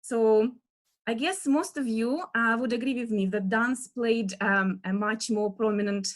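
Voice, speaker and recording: A woman with a Russian accent talks through an online lecture on a video call, her voice at an everyday middle pitch. She hesitates often, filling gaps with ums and small laughs while she gathers her words. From Russia (Western Siberia). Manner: many fillers, soft laughs, searching for words. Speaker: dance historian giving an online lecture.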